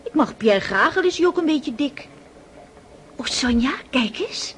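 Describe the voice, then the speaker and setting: High-pitched, girlish excitable young countess. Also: measured pace. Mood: excited. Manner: gushing, impulsive, girlish exclamations. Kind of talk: radio drama acting. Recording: noisy old radio play recording.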